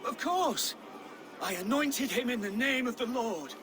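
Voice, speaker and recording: A panicky, pleading Saxon priest. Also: male voice, very high-pitched, animated, a little slow, noisy background. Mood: frightened pleading.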